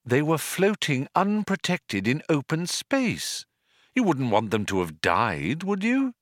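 A man's voice: a little high, huge pitch swings, takes it slowly, dry recording, clean studio sound, pitched above the performer's own voice, full character voice. Man voicing a patient, lilting, compassionate woman. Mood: patient, reproachful. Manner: lilting, soothing.

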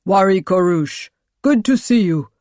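A narrator voicing a towering, commanding military ruler in an audiobook, with a loud, booming delivery and formal, measured speech. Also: no echo, lightly acted. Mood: genial, commanding. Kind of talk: audiobook character dialogue.

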